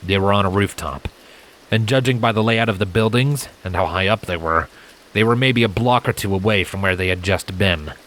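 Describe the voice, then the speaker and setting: A man's voice: on the low side, a little fast, fairly bright tone, slight background noise, rough recording. A man reading fan fiction as a dramatic, scripted storybook narration. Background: music and rain effects.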